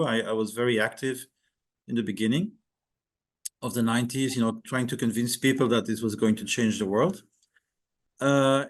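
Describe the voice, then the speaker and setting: An older man from Belgium, a guest speaker giving a reflective talk over a video call, hesitant with many fillers and restarts. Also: mid-range voice. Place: home in Thailand, video call.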